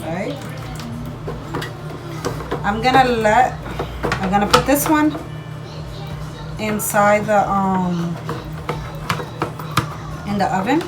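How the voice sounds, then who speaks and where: A woman's voice: medium pitch, slow pace, pauses often, loud music behind the voice. A woman chatting informally and directly to her viewers as she films a home cooking video. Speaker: home cook vlogger. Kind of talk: casual chat to viewers while cooking. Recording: clearly noisy home video recording.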